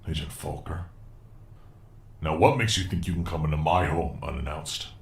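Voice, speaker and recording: Very deep-voiced man as a haughty villainous captain. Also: moderate pace, gravelly. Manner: formal, composed, condescending. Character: proud, smooth-talking disgraced male captain, suspected villain. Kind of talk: scripted science fiction audio drama. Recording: roomy echo, music underneath.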